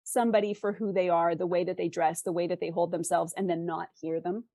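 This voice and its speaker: A woman's voice: fairly low pitch, steady tone, brisk, dark tone, no room sound, clean studio sound. A woman speaking as an interview guest, explaining conversationally with examples and rhetorical questions. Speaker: interview guest, fiction author.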